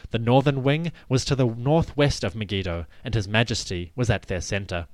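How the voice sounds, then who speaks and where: A man giving a scripted, dramatic narration of ancient history in a measured, solemn storytelling manner. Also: mid-range voice, dry recording. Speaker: podcast host and narrator.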